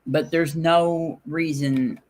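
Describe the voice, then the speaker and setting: A man's voice: fairly high pitch, fairly even intonation, slow pace, darkish tone. A man hosting a casual debate, hesitant and filler-heavy as he reasons aloud. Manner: hesitant, many fillers and restarts. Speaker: host.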